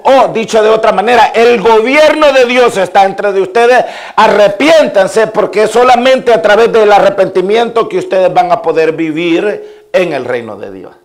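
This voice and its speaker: Salvadoran pastor preaching a sermon. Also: male voice, sits high. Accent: Salvadoran Spanish. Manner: repetitive listing cadence with frequent amens.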